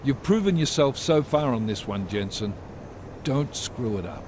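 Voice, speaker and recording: A man's voice: mid-range voice, animated, normal speed, background noise, lightly acted. An actor playing a stern male commander in a video game, giving clipped, firm orders. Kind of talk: video game cutscene dialogue.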